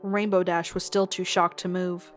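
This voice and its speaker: A woman narrating a scripted story in an expressive storytelling style. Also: fairly low pitch, moderate pace. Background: music.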